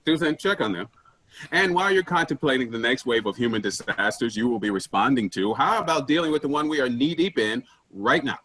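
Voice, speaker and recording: A man's voice: medium pitch, a little fast, bright, forceful tone. A man in a staged play reading, acting a domineering office boss who is brusque, sarcastic and abrasive. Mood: bossy, impatient.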